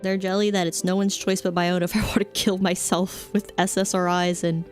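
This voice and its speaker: A woman's voice: lowish voice, little pitch movement, fairly quick, darkish tone, soft background music. A young adult woman streamer chatting casually, rambling with lots of fillers and offhand jokes. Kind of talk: casual chat stream, reading chat.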